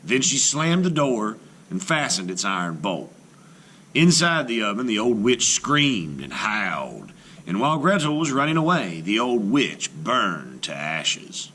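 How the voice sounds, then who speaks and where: A man, a teacher, reading a folktale aloud for children with expressive narration. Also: lowish voice, measured pace, a little hiss or hum. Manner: expressive, rising and falling with the tale. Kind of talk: storybook read-aloud narration.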